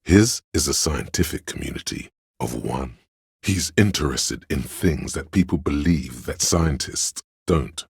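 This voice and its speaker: An actor playing the grandiose, artsy inner voice of a detective's imagination in a video game, narrating in a dramatic, florid, deliberate way. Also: male voice, lively intonation, no room sound, clean studio sound, heavily acted. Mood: pompous, musing. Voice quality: gravelly, husky bass. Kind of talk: theatrical, literary game narration.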